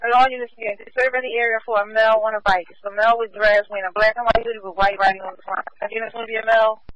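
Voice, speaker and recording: A woman police dispatcher on the radio, briskly repeating locations and warnings to units. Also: on the high side, little pitch movement, highs cut off, a little hiss or hum.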